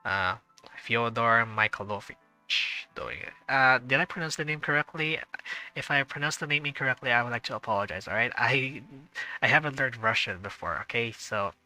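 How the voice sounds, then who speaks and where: A man's voice: mid-range voice, moderate pace, sharp tone, soft background music, low-quality recording. A man streaming a visual novel, reading the narration aloud and adding chatty, hesitant asides. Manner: chatty asides, hesitant with fillers when unsure. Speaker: gaming streamer.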